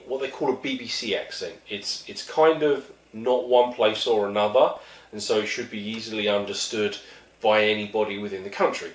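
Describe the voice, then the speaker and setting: A middle-aged man from East London, with an East London accent, telling wry, self-deprecating stories in a casual monologue. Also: on the low side. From East London, England. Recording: echoey room, mic at a distance.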